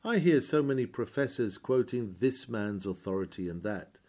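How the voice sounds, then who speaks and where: A man reading a scripted historical sermon aloud in a measured, solemn and formal oratorical manner. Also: medium pitch, expressive, darkish tone.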